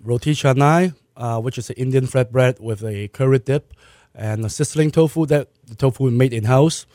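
Halting restaurant owner, dry sound. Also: male voice, mid-range voice, mellow, dry recording. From born in Malaysia, raised in Hong Kong. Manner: plain, halting, restarts sentences. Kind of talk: casual interview answers.

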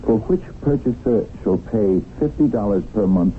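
A man's voice: lowish voice, animated, normal speed, natural voice. An actor playing a sly, stingy businessman in a 1970s radio drama, pedantic and mock-courteous. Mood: smug, oily. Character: sly, stingy, smoothly officious swindling businessman. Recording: muffled, noisy 1970s radio broadcast.

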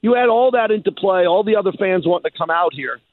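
A man with a notably high voice talks sports in an emphatic, animated way, hammering points home by repeating them. He is from New York and sounds like a radio guest. The sound is thin and narrow, like a phone line, with a dry, close quality. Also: fast, dry recording. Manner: emphatic, repeats phrases for effect. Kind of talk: animated sports talk interview.

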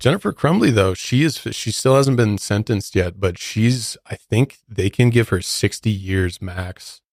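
A man's voice: fairly low pitch, lively intonation, unhurried, no room sound, studio quality. An American man co-hosting a podcast, chatting casually in conversational language. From United States. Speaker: podcast co-host.

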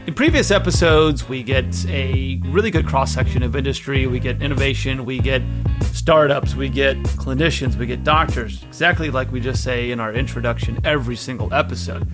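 A man's voice: medium pitch, moderate pace, over music. A man hosting a podcast, talking casually and emphatically, repeating points to drive them home. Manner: repetitive, emphatic, enthusiastic. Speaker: podcast host. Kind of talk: casual interview talk.

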